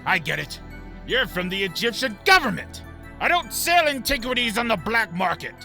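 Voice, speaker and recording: A man's voice: high voice, very animated, normal speed, vocal fry, pushed and bright, big-room sound, soft background music, full character voice. A man playing a greedy, blustering museum investor in an audio drama. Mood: alarmed.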